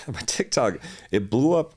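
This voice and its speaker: A man's voice: mid-range voice, very animated, slow, husky. A young man from Hawaii, a fitness coach, chatting easily as a podcast guest and laughing often. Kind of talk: casual interview chat.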